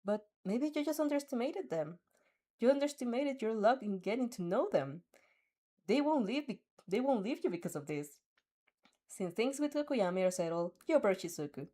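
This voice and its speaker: A woman's voice: on the high side, lively intonation, normal speed, mellow. A young woman reading a fanfic aloud, often breaking off to laugh and react, stumbling and restarting as she goes.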